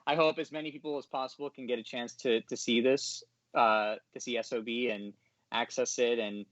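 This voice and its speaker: A man's voice: medium pitch, steady tone, normal speed, fairly bright tone, no room sound. Hesitant man, dry dull call audio. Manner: hesitant, polite. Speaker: podcast guest, voice actor. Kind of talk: casual interview talk. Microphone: online call.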